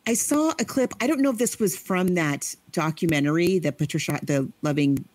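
A woman's voice: low-pitched, animated, fairly quick, darkish tone, no echo. A woman co-hosting a podcast, chatting casually about a book and acting out quoted lines in playful voices. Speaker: podcast co-host.

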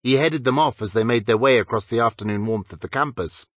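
A man's voice: mid-range voice, fairly quick, dry recording. A man reading a scripted story as an audiobook narrator.

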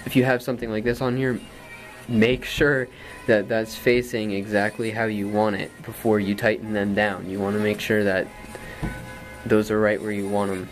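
A man in his twenties with a low voice, explaining drum tuning in a rambling, casual way with many ums, recorded in a small padded bedroom on a camera mic. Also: little pitch movement, moderate pace, faint music behind. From Florida, USA. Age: 20s. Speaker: drum instructor. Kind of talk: casual tutorial. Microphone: camera mic from a few feet away.

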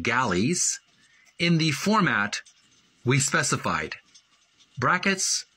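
A middle-aged man with a forceful, slightly rough voice hosts as he reads to his viewers. His delivery is deliberate and emphatic, with lively swings in pitch. He takes his time over the words. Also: rough recording. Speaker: host of a video channel reading a book aloud. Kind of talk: reading aloud and chatting to viewers.

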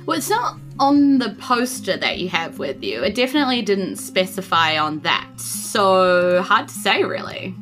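A woman's voice: medium pitch, very animated, moderate pace, hardly any pauses, a bit bright, faint music behind. A woman with a New Zealand accent, narrating a tabletop game off the cuff as dungeon master.

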